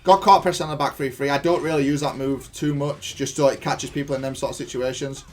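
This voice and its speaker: A man with a mid-pitched voice talks through his own fighting-game match. His delivery is informal and matter-of-fact, like a player reviewing a replay for viewers. He is openly self-critical as he analyses the play. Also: fairly even intonation, fast talker. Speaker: gaming content creator reviewing own match. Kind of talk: casual gameplay analysis commentary.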